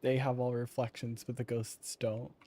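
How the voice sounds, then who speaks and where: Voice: mid-range voice, level tone, normal speed, dark tone. A game streamer giving casual commentary while playing.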